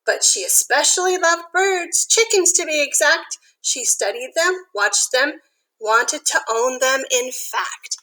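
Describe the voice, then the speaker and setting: A woman with a high, bright voice reads a picture book to young children. Her delivery is expressive and sing-song, and she speaks to her small listeners in the chatty way of an elementary school teacher. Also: slow pace, poor audio.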